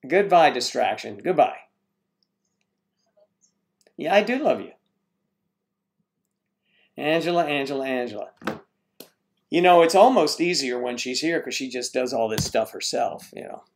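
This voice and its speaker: A man's voice: mid-range voice, expressive, unhurried, long pauses, slight background noise. A man hosting a casual livestream from home, rambling as he greets chat viewers and stumbles over their names.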